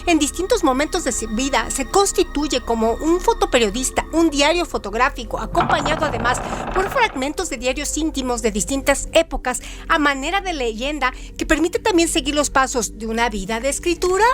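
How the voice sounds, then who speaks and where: A woman's voice: sits high, lively intonation, brisk, soft background music. A woman presenting scripted educational literary narration in a didactic way, framing facts as rhetorical questions.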